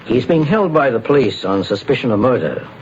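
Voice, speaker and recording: An actor playing a measured, authoritative private investigator in a 1940s-style radio drama. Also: male voice, mid-range voice, very animated, lo-fi sound, lightly acted. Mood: calm. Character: calm, shrewd male private investigator. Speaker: detective. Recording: hissy old radio broadcast.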